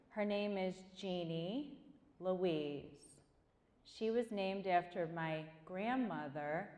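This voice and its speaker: Chatty woman speaking in a hall. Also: mid-range voice. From Korea. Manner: chatty, asks the audience questions. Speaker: guest speaker. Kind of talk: motivational talk to students. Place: large hall. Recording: long hall reverb.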